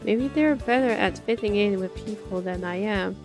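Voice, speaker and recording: A woman with a medium-pitched voice narrates a visual novel for a stream. She slips between reading aloud and casual reactions, with quiet game music underneath. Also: moderate pace. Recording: game music faintly behind the voice.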